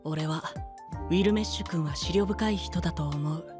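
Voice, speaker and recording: Man voicing a gentle young twin knight, soft boyish tone, placid, teasing, anime audio drama, music behind. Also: a little high, moderate pace, lightly acted. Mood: calm, caring.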